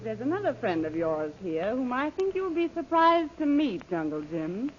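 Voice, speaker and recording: Imperious, mocking villainess, animated and commanding, vintage jungle radio drama with faint hiss. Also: female voice, on the high side, normal speed. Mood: scornful, commanding. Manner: imperious, sardonic. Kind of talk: old-time radio adventure serial acting. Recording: muffled, noisy old radio recording.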